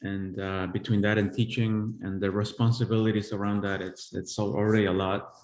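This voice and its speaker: A man in his forties from El Salvador, an artist giving a live-streamed talk on his work, who speaks haltingly with many pauses and restarts. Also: on the low side, level tone, darkish tone. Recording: echoey room.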